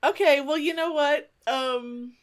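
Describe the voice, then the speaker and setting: A woman's voice: sits high, takes it slowly. A woman co-hosting in casual banter, reacting with exclamations and encouragement. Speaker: podcast co-host.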